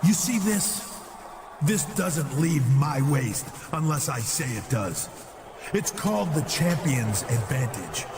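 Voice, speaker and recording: A man's voice: a little high, sing-song, slow pace, pauses often, heavily acted. A man voicing an arrogant wrestling champion who taunts and threatens his challenger in a video game cutscene. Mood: cocky, threatening. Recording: noisy game cutscene audio.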